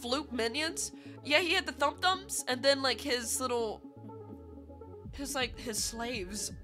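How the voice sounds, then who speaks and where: A woman's voice: sits high, slow pace, bright, forceful tone, faint music behind. A congested, nasal-sounding woman chatting casually and playfully with viewers as a livestreamer, giggly and affectionate. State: congested from illness. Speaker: streamer.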